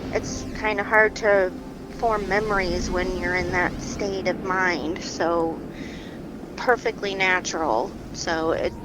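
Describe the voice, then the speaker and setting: A woman's voice: mid-range voice, natural voice, low-quality recording. A woman playing a soothing, patient female doctor, music behind. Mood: reassuring. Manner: soothing, patient, hesitant conversational phrasing. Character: calm, reassuring female doctor counselling an anxious patient. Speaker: therapist or doctor counselling a patient. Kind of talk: naturalistic fiction podcast dialogue.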